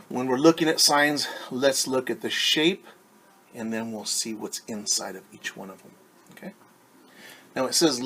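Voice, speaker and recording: A man reading a picture book aloud to young children as their teacher, patiently asking them questions and pausing for answers. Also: medium pitch, fairly bright tone, a little hiss or hum. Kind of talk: children's storytime read-aloud.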